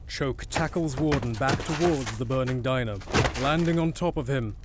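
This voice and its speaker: A man's voice: medium pitch, moderate pace, very noisy, natural voice. A man reading dramatic scripted narration for an audio drama as its narrator.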